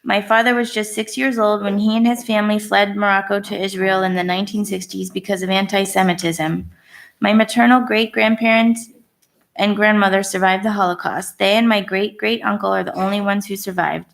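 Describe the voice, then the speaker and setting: A woman's voice: medium pitch, level tone, fairly quick, gravelly. Young woman reading sincere public comment. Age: late 20s. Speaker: resident giving public comment. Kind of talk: reading a prepared statement. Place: town hall meeting room.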